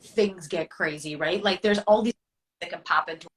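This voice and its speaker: A woman's voice: on the low side, normal speed. A woman hosting a casual interview, affirming and enthusiastic, filling her talk with "like" and "right.". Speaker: podcast host.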